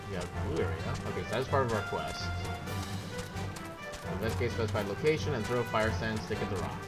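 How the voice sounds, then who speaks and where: A man's voice: on the low side, animated, brisk. A man giving casual live commentary as he streams a video game, chatty and playful. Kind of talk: casual live gameplay commentary with quest text read aloud. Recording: game music behind the voice. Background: video game music.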